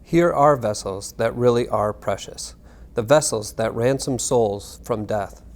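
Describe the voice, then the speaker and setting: A man giving a scripted reading of narration and historical text in a measured, formal manner. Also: medium pitch, a little mellow. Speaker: reader.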